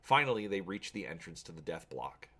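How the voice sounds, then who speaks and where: A man's voice: low voice, sing-song, a little fast, pushed and bright. A man hosting a channel, talking casually to viewers and reading an adventure story aloud with drama.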